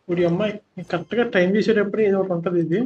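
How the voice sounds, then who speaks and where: A high-voiced man with a Telugu accent chats casually as he streams a game. He talks extremely fast, on a dull, muffled mic with game music behind him. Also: fairly even intonation, darkish tone, a little hiss or hum. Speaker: gaming live streamer. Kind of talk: casual live gameplay commentary.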